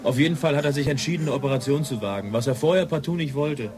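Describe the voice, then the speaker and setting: An actor playing a blunt, colloquial police detective in a German radio play, brisk and matter-of-fact. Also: male voice, medium pitch, crowd noise, natural voice.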